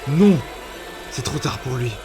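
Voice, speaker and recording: A man's voice: mid-range voice, lively intonation, lightly acted. A man playing a cautious, courteous leader of a group of travelers in an audio drama. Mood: urgent. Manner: measured, polite. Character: calm, cautious, courteous man leading the group, named Edgar.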